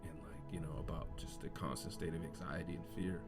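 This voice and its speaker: Very deep, soft-spoken young man. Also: steady tone, brisk, vocal fry, music in the background. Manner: laid-back, soft-spoken, laughs easily. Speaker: co-host. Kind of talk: casual faith podcast chat.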